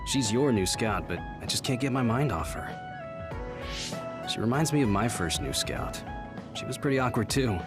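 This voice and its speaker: An actor playing a kind, protective, earnest military captain in a video game. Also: male voice, medium pitch, lively intonation, measured pace, loud music behind the voice, natural voice. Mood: concerned, regretful. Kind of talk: English video game dub acting.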